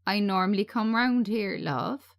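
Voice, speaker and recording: A woman's voice: mid-range voice, unhurried, gravelly, no room sound. A woman voicing a mild, plain-spoken homeless woman in a screenplay reading. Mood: mild, placating. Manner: plain-spoken, calls people love.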